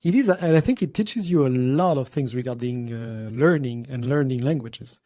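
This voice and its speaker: A man, hosting a relaxed podcast conversation. His voice is warm and mellow, recorded dry and close. He talks thoughtfully and pauses to find his words. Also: medium pitch, no echo. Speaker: podcast host.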